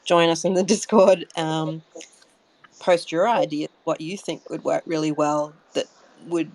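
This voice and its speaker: A woman's voice: deep voice, steady tone, unhurried, darkish tone. A woman speaking for a community project in a casual interview, in an encouraging, inviting manner. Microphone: online voice call. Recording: faintly noisy online audio chat.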